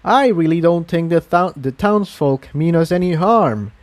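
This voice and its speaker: Man playing a casual, frank young pony adventurer, lifted pitch. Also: lively intonation, measured pace. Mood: casual, dismissive. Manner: dismissive, casual.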